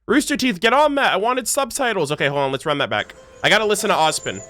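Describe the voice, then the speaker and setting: A man's voice: high-pitched, animated, fast talker, over music. A man hosting a reaction video, giving casual, slangy commentary full of exclamations.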